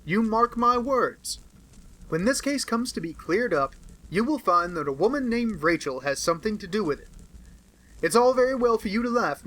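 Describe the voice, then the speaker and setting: A man's voice: sits high, a bit bright, voice pushed up, rough recording. A man with an American accent voicing a boastful, touchy little police inspector in an audiobook reading. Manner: brash, insistent, self-satisfied.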